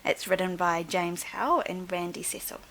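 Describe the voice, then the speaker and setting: A woman teacher reading a storybook aloud to young children in an expressive, instructive way. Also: lowish voice, moderate pace, a little hiss or hum. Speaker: primary school teacher.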